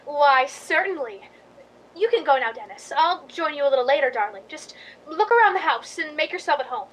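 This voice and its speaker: A woman's voice: sits high, animated, fairly quick, fairly bright tone, a little hiss or hum, poor audio. A woman playing a spoiled, gushing Hollywood movie queen in a radio drama, with a theatrical, affected manner. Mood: airily bossy.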